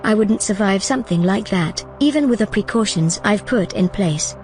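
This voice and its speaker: A woman's voice: medium pitch, expressive, moderate pace, a little mellow, close to an everyday voice. A woman playing a determined artificial intelligence in an audio drama, composed, persuasive and insistent. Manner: composed, insistent, matter-of-fact. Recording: music behind the voice.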